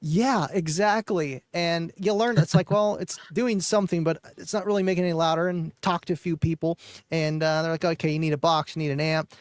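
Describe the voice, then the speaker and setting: A man co-hosting a live stream, chatting casually about car audio in a relaxed, rambling way with many fillers. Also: on the high side, a little fast, clean tone, no echo.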